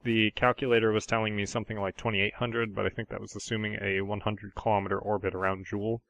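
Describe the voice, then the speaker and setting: A man who is sick with a sore throat, giving a relaxed, rambling gameplay voiceover with many ums and uhs. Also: on the low side, fast, creaky. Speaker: gaming channel host. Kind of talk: casual gameplay voiceover commentary. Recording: steady background noise.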